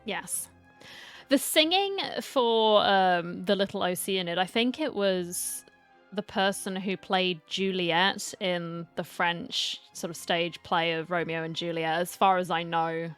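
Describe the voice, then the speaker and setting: A woman's voice: lowish voice, slow, a bit bright, smooth. Chatty woman reacting to a video game. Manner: chatty, talks back to the characters. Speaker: reaction streamer. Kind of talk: casual gameplay reaction commentary. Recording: faint game music behind.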